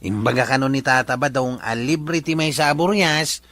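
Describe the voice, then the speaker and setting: A man's voice: medium pitch, normal speed, a bit bright, no echo, lightly acted. A man acting a teasing, gossipy village sidekick in an Ilocano comedy drama, with an Ilocano accent. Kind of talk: Ilocano radio-style comedy drama dialogue.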